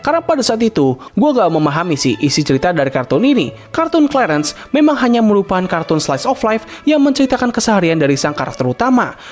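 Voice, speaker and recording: Fast, lively young man reviewing cartoons. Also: high voice. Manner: casual Jakarta slang, opinionated. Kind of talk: scripted video-essay narration. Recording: voice-over with faint background music.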